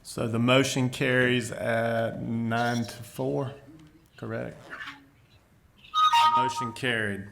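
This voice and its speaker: A man with a medium-pitched voice runs a formal meeting as its chair. His delivery is procedural and businesslike, moving through the agenda in set phrases. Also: little pitch movement, slow pace, creaky, long pauses, background noise.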